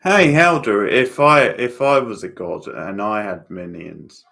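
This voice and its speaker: A man's voice: on the low side, measured pace, creaky, bright, forceful tone. A man giving casual, opinionated commentary on a TV show as host of a live watchalong, chatting back to viewers.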